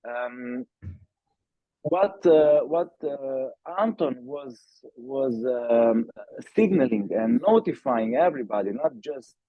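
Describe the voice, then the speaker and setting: Halting man on a tinny call line. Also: medium pitch, no room sound. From Slovenia. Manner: halting, many fillers and restarts. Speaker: startup founder, guest. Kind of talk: podcast interview answer. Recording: tinny phone-like call audio.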